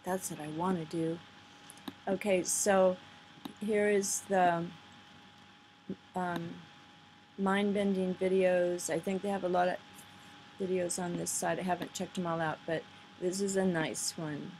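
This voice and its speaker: A woman's voice: on the low side, fairly even intonation, unhurried, long pauses, a little mellow, a little hiss or hum. A woman giving rambling, earnest, off-the-cuff commentary in a casual style, on a poor-quality home recording. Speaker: video commentator. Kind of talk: casual off-the-cuff commentary over played videos.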